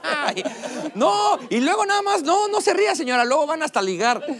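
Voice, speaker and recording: A man with a Mexican accent hosting a late-night comedy show, joking and teasing in a loud, slangy stand-up monologue. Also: extremely high pitch, big pitch swings, moderate pace, a crowd in the background. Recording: TV studio with audience and music. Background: studio audience and music.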